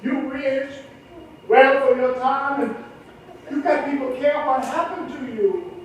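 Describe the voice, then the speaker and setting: An actor playing a plain-spoken older chauffeur who talks bluntly and patiently, in a film scene. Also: male voice, very high-pitched, slow, slight background noise. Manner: blunt, patient, dialect speech. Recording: echoey film audio from far off.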